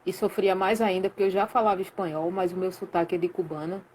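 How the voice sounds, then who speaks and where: A woman from Recife with a Pernambuco accent tells her story in a relaxed, slangy way. Her voice sits fairly low for a woman. A faint ambient hum runs under the recording. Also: quick pace, poor audio. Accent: Pernambuco Portuguese. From Recife, Brazil. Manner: lively, colloquial storytelling with slang. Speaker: guest, sound professional. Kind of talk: casual storytelling in a live chat.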